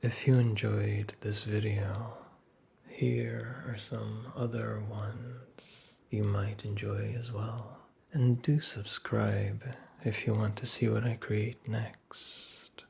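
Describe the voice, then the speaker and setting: An ASMR creator speaking directly to viewers in a soft, breathy whispered sign-off. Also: medium pitch, level tone, slow.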